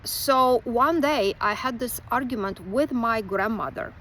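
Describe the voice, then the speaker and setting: A woman from Romania, a folklore writer and teacher talking through a reflective personal monologue with many pauses. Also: a little high, fairly bright tone, a little hiss or hum, rough recording.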